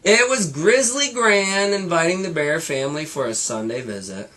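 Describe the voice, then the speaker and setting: A man reading a children's story expressively, pausing to explain and comment for young listeners. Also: on the high side, lively intonation, sharp tone, slight background noise. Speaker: storybook reader for schoolchildren. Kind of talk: expressive children's read-aloud.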